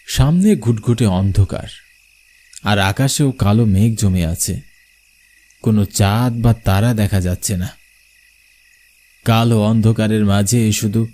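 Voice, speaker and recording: A man playing a travelling bioscope showman, narrating an eerie cartoon story in the first person with expressive delivery. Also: fairly low pitch. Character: travelling village bioscope showman telling his own eerie tale.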